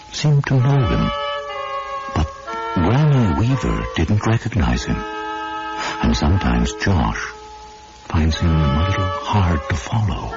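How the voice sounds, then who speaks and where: An actor playing a brooding, mysterious narrator in a radio drama, delivering scripted lines in a measured, portentous manner. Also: male voice, rumbling low, heavily acted. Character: brooding, mysterious male narrator of a road tale. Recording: dull 1980s radio, loud music behind.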